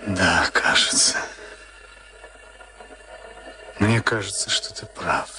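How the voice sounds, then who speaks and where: An actor playing a haunted former camp prisoner turned boxer, slow and brooding in a Soviet radio play. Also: male voice, sits low, full character voice. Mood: slow recognition. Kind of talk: Soviet radio play acting, dramatic monologue and dialogue. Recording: archival radio play, loud music underneath. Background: music.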